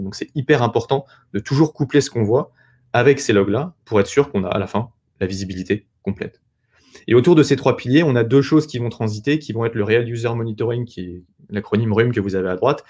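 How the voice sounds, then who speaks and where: A young man presents a technical topic in a webinar. His voice sits slightly low and he talks quickly. He explains things in an informal, conversational way, posing questions and answering them himself. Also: a little mellow. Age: young adult. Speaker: sales engineer presenting. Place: small indoor room on a video call.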